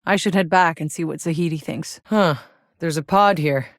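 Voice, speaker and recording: Woman acting a low-voiced, tough female sci-fi soldier. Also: moderate pace, dry recording, clean studio sound, lightly acted. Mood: dry, wry. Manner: gruff, sardonic, casual swagger. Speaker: video game voice actor. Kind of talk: video game voice lines.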